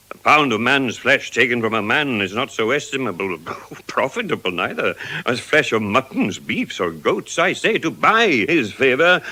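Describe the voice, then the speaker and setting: An actor playing a sardonic, calculating moneylender in a theatrical, deliberate Shakespearean drama style. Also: male voice, medium pitch, pushed and bright, low-quality recording. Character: Shylock, sardonic calculating male moneylender of Venice. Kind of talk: classical Shakespearean stage acting in audio drama.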